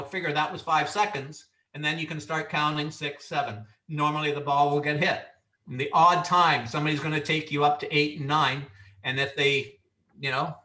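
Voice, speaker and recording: A man from Canada lecturing referee trainees, loud and sure of himself, his voice bright and a touch high. He sits back from the mic in an echoey room, so the sound is roomy and distant. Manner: loud, confident, instructive delivery. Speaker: referee instructor.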